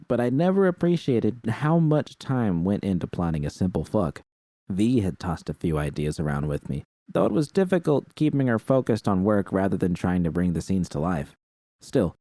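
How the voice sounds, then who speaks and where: A man narrates a first-person story in a low-pitched voice. The tone is dark and mellow, and the recording is dry and close, with no room sound. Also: no room sound. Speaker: audiobook narrator.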